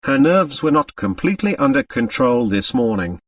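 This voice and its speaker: A man's voice: medium pitch, sing-song, normal speed, dry recording, studio quality, recorded from a distance. A man reading a novel aloud as scripted audiobook narration.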